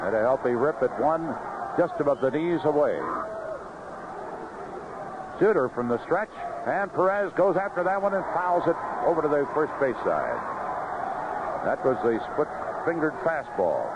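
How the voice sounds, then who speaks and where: A man calling baseball play-by-play on live radio in clipped phrases, pausing between pitches. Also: sing-song. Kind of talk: live radio sports commentary. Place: outdoor ballpark broadcast booth. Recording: noisy, muffled vintage 1970s radio broadcast.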